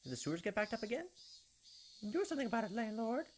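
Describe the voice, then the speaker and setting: A man's voice: sits high, sing-song, fast talker, slight background noise, pitched above the performer's own voice. A man voicing a complaining, squeamish woman tenant in a visual novel read-aloud. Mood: whiny, disgusted.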